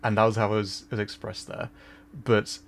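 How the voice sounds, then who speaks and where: A man's voice: on the low side, little pitch movement, brisk, gravelly. A man casually chatting on a gameplay livestream, laughing often and explaining game trivia. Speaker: streamer.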